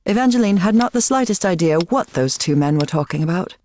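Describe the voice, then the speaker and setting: An actress playing a wry, knowing woman from beyond the grave who narrates a video game story in a measured way. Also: on the low side, lively intonation, a little mellow, a little hiss or hum, natural voice. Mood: reflective. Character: dead wife of a professor, wry knowing female narrator.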